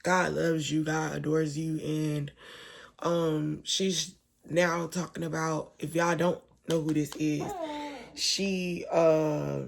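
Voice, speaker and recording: A young woman vlogging late at night with a hoarse, fading voice, talking softly and partly in a whisper. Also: low-pitched. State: hoarse, voice almost gone. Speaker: mom vlogger. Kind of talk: casual talk to camera. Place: home at night, lying down with her baby.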